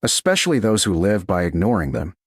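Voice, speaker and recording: A man voicing a composed, restrained duke who speaks quietly and with measured care. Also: deep voice, very animated, breathy edge, no room sound, studio quality, full character voice. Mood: calm, steady. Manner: quiet, measured, softly spoken. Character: composed restrained male duke, gentle and exact.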